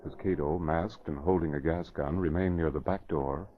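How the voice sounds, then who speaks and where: A man's voice: extremely low pitch, steady tone, moderate pace. A man narrating a scripted radio mystery in a dramatic 1940s style. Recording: muffled vintage radio recording.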